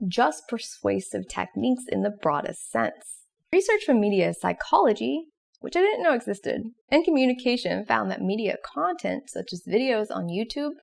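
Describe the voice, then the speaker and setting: A woman presenting educational material in scripted explanatory narration with casual asides, expressive and questioning. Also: medium pitch, vocal fry. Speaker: presenter of educational psychology videos.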